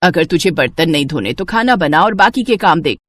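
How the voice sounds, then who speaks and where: Lady doing a bossy scolding mother in law, cartoon drama, raspy voice, dry recording. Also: low voice, animated, a little fast, fairly bright tone, dry recording. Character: stern scolding middle-aged Indian mother-in-law. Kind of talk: Hindi cartoon family moral story.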